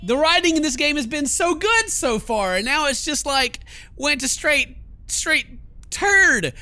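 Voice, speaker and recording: A man hosting a gaming video, reading game text aloud and commenting in a sarcastic, animated way on a close mic. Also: extremely high pitch, unhurried, no echo, soft background music. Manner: sarcastic, animated when incredulous.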